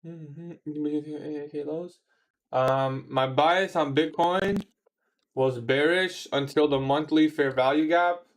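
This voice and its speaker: A man's voice: on the high side, fairly even intonation, slow pace. A man in his twenties chatting casually on a trading livestream, answering viewer questions in a laid-back, slangy way. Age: 20s. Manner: laid-back, slangy, says bro a lot. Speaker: livestream trader.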